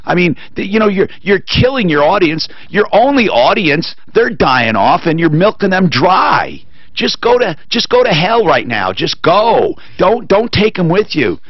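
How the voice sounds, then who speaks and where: Animated ranting talk radio host man. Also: measured pace, no room sound, lo-fi sound. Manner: opinionated ranting, emphatic swings in pitch. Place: radio studio. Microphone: studio boom mic with headphones.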